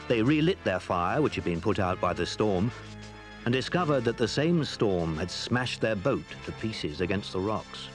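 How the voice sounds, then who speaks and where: A man tells a children's adventure story in a fairly low voice. His scripted narration is expressive and varied, with soft music behind him on an old story tape. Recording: 1980s story cassette.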